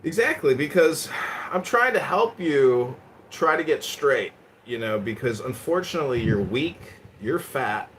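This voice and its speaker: A man hosting a live audio chat, roasting his guest in a mocking, provocative way, swinging between loud and quiet. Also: mid-range voice, lively intonation, unhurried, slight background noise. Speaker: host.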